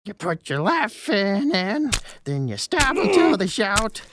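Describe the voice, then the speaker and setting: A woman's voice: mid-range voice, sing-song, slow, background noise. A woman voicing a mocking, vengeful male troublemaker in a theatrical audiobook performance. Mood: sing-song taunting. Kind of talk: audiobook character acting.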